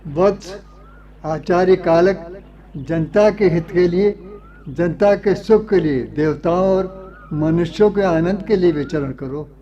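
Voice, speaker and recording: A man's voice: sits high, slow pace. An actor playing a fatherly, anxious king in a radio play, speaking in a stately, formal manner on a dull, lo-fi recording. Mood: blessing. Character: fatherly, anxious king blessing departing monks.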